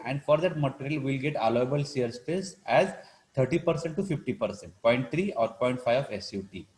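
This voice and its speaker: A male lecturer with an Indian accent and a medium-pitched voice. He explains technical steps in a live online class, in a halting, repetitive manner. He often tags phrases with small check-in words. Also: darkish tone, poor audio. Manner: halting, repetitive, punctuated with check-in words like "right" and "you know". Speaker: engineering lecturer.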